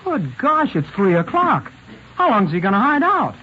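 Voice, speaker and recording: An actor playing a brash, self-righteous college-age older brother in a 1940s radio play. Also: male voice, high voice, huge pitch swings, normal speed. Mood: indignant. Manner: brash, exclamatory. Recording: dull, noisy vintage radio recording.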